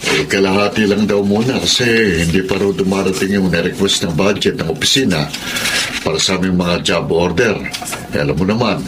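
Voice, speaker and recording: An actor playing a calm working-class father who reassures his wife in a radio drama. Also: low-pitched, a little fast, background noise, natural voice. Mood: explaining. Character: gentle working-class father, low-paid office worker.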